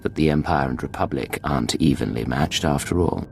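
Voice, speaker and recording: A man's voice: very deep voice, fairly quick, vocal fry, a little hiss or hum. A man playing a cool, unflappable Imperial secret agent in a sci-fi game, with clipped, composed delivery. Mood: cool, resolute. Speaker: player character in a sci-fi game. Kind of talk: dramatic game voice acting. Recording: dramatic music behind.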